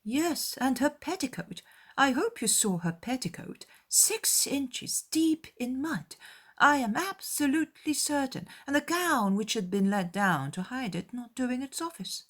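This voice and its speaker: A snobbish young lady of society, as a female narrator puts her on in a dramatized audiobook. Her voice is lifted high, exclaiming with disdain. She is haughty and gossipy, full of mocking scorn. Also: expressive, unhurried, a bit bright, heavily acted. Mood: gleefully mocking.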